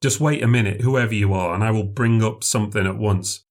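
A man's voice: on the low side, brisk, close to an everyday voice. A male storyteller puts on a sailor from an old sea story. He speaks bluntly in colloquial slang, his voice a little raised, wary yet kind at heart. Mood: earnest. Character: plain-spoken fisherman sailor, wary but good-hearted.

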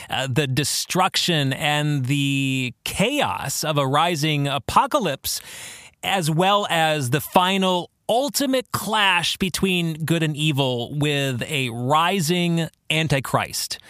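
A man hosting a solo podcast in a reflective way, speaking earnestly with deliberate, emphatic phrasing. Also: on the high side, a bit bright, no room sound, studio quality. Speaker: podcast host and author.

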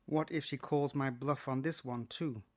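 A man's voice: medium pitch, steady tone, moderate pace. A British man reading a first-person short story as its narrator. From Britain.

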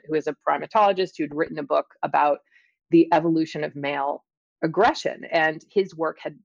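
A middle-aged woman speaking as an interview guest, explaining and telling her experiences in a thoughtful, halting way with drawn-out emphasis. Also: low voice, creaky, dry recording, highs cut off. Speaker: interview guest, scientist and author. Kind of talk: conversational interview, personal storytelling and explanation.

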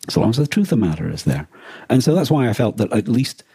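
Male podcast co-host, dark husky tone in a dry close recording, reflective and halting. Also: mid-range voice, sing-song, no echo. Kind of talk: reflective conversational discussion.

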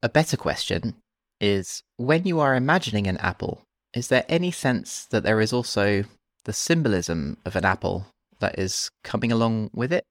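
A man hosting a conversation, calmly guiding his guest through imaginative exercises with slow, listed prompts. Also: fairly low pitch, no room sound, clean studio sound. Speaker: host.

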